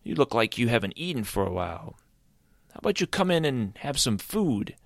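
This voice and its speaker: A man's voice: sing-song, moderate pace, creaky, dry recording, studio quality. A man voicing a small, kind, blue-skinned male creature who welcomes a stranger into his home. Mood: friendly. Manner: high, eager, welcoming.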